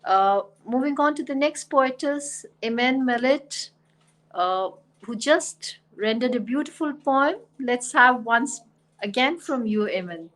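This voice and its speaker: A woman's voice: a little high, expressive, takes it slowly, rough recording. A woman hosting an online poetry reading, introducing poets with effusive praise. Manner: warm, effusive praise of guests. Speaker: host.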